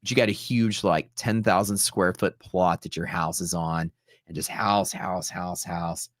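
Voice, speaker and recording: A man with a deep voice chats casually as a livestream host. The sound is dry and close, and his delivery is loose, slangy and rambling, like off-the-cuff storytelling. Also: fairly even intonation, unhurried, no room sound. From Texas, United States. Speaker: livestream host, futures trader.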